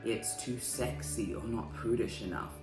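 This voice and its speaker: A woman with a strikingly deep, low voice speaks slowly and deliberately, weighing each phrase in a solemn, measured way. She sounds roomy and echoey, with loud guitar music playing under her. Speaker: host. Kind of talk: scripted poetic narration.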